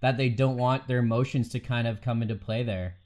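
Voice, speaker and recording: A man with a medium-pitched voice conducts an interview. He phrases his questions loosely and informally, as in everyday chat. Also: steady tone, fairly quick, a little mellow. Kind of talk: casual conversational interviewing.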